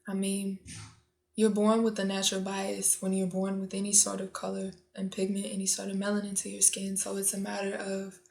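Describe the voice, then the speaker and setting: A nineteen-year-old woman, a student, giving measured and articulate interview answers. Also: medium pitch, completely flat delivery, vocal fry, darkish tone. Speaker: audio engineering student. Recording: faint music underneath.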